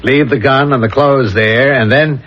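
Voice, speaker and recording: A man plays a dogged police inspector in a vintage radio mystery. He probes deliberately and methodically at a middling pitch, on a dull, lo-fi broadcast recording. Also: fairly even intonation, close to an everyday voice. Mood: reasoning aloud. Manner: probing, deliberate, methodical questioning. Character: dogged male police inspector, probing and reasoning aloud. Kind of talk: 1970s radio drama acting.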